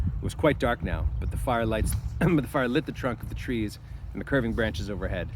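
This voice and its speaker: Casual male teacher over noisy background. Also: on the low side. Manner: casual, thinking aloud, frequent throat clearing. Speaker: teacher reading a novel aloud. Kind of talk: read-aloud with informal commentary.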